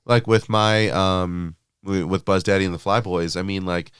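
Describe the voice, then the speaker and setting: Deep, smooth-voiced sniffly man chatting. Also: fairly even intonation, normal speed, fairly bright tone, no echo, studio quality. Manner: chatty, filler-heavy, laughs easily. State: sniffly from allergies. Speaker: podcast co-host. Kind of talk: casual chat about tabletop wargaming.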